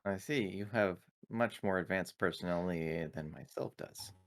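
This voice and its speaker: A man roleplaying as an android in a tabletop game, speaking in formal, precise, deadpan wording. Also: sits low, moderate pace.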